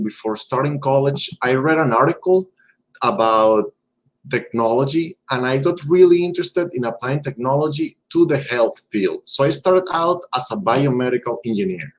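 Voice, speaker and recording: A young man from Venezuela whose first language is Spanish, giving a steady, measured talk to teens as a guest speaker. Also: mid-range voice, rough recording. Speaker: electrical engineer, guest speaker.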